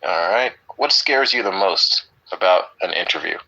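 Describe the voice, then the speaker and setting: Deep-voiced upbeat male English teacher. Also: lively intonation, normal speed, vocal fry, sharp tone, dull audio. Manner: upbeat, teasing. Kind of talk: online class.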